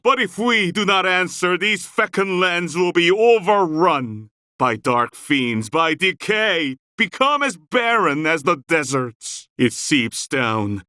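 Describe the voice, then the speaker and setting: A man voicing a forceful, declamatory townsman in a video game, with a dramatic delivery. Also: high-pitched, clear, resonant tone, no room sound, studio quality, exaggerated voice.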